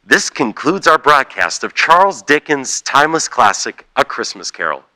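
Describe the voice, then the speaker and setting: A man narrating a radio play as a scripted story, theatrical and measured. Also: mid-range voice, very animated, sharp tone.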